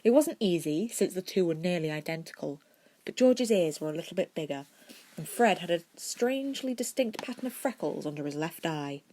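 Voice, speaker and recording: A woman reading fiction aloud as an amateur audiobook narrator, with expressive storytelling. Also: on the low side, brisk. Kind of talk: scripted narration of fan fiction.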